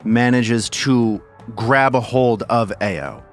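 Male game master, slow and deliberate, dry humor. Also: medium pitch, animated, faint music behind. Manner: measured, dramatic narration with dry humor. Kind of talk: narrating a tabletop game.

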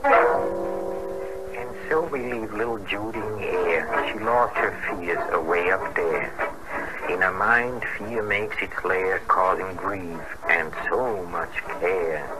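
Slow, low-voiced radio thriller host. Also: male voice. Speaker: host and narrator. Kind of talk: rhymed scripted narration for a radio thriller. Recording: noisy vintage radio broadcast recording.

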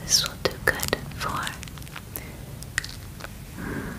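A woman in her twenties doing a softly whispered ASMR roleplay as a calm, methodical alien examiner, soothing and attentive, close to the microphone. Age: 20s. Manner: soft, unhurried, soothing, close personal attention. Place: small indoor bedroom studio.

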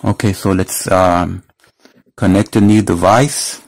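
Low-voiced man demonstrating a product. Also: fairly even intonation, slow pace. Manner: step-by-step, explanatory. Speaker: product reviewer. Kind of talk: casual hands-on product demonstration. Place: indoors at a wooden table.

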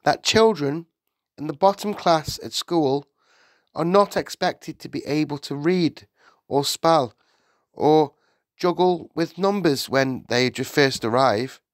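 A man's voice: a little high, takes it slowly, dry recording, studio quality, pitched above the performer's own voice. A man voicing a slim young woman schoolteacher in a storybook, polite and earnest but firm. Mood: composed, earnest.

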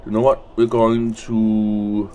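A man's voice: on the low side, level tone, takes it slowly, warm tone. Sniffly young man commentating a game. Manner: rambling, sweary. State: sniffly from the end of a cold. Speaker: gaming video host. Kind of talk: casual let's play commentary. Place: indoors at a desk, webcam view. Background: faint game music.

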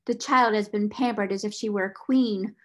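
A woman voicing a stern, cold-hearted schoolmistress who speaks in sharp, indignant commands for a storybook. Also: mid-range voice, fairly even intonation, moderate pace, lightly acted. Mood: bitter.

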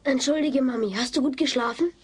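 A woman's voice: high voice, brisk. A woman playing a dutiful, placating young daughter in a German sitcom radio play. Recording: clearly noisy old cassette transfer.

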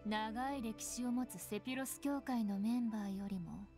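A woman's voice: a little high, moderate pace, mellow, natural voice. A woman playing a cool, sardonic young nun in an anime game, curt and dryly cutting. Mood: coolly sardonic. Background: game background music.

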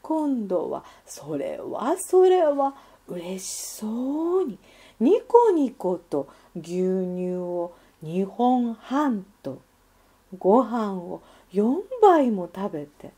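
A woman reading a children's story as a scripted narration, with careful, expressive delivery. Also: medium pitch, slightly rough.

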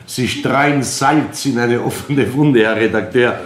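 A courteous, methodical Austrian police chief, played by a man in a radio crime drama. His medium-pitched voice is official and deliberate, with small hesitations. The room rings around him. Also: lightly acted. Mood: formal, patient. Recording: roomy, reverberant radio play sound.

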